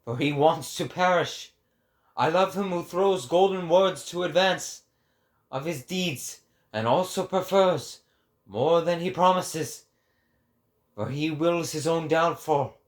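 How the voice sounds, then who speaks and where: A man voicing an impassioned, solemn prophet in a dramatic reading, with declamatory and emphatic phrasing. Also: a little high. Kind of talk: dramatic reading of philosophical prose.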